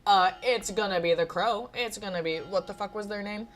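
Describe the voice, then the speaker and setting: A woman's voice: mid-range voice, normal speed. A woman hosting a gaming video, reading a visual novel aloud and commenting casually, with some swearing and giggly asides. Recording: music behind the voice. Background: music.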